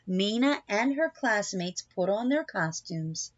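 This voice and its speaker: A woman reading a children's picture book aloud in an expressive, deliberate storytelling manner, with asides to young listeners. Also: mid-range voice, no room sound, muffled recording. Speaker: storybook reader.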